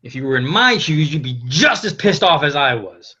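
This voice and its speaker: A man's voice: a little high, big pitch swings, moderate pace, bright, forceful tone, husky, full character voice. An actor playing a cocky, foul-mouthed young French king who gloats and swaggers like a stage showman, over a lo-fi online call. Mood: indignant. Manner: boastful, indignant, swaggering. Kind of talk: theatrical comic monologue in a table read. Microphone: video call.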